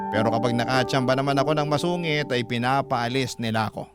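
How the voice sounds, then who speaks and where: A man reads a first-person letter aloud in the style of classic radio narration, his voice sitting at a middle pitch. He tells the story steadily, with loud music playing underneath. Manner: steady, storytelling, addressing the host directly.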